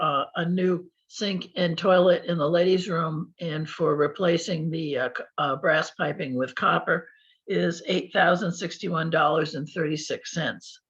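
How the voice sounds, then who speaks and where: A woman on a committee who is losing her voice, reporting at a meeting with many fillers and throat-clearing. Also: on the low side, slow pace, fairly bright tone. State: hoarse, losing voice. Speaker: committee member. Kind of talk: meeting discussion. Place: public meeting room. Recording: dull lo-fi meeting recording.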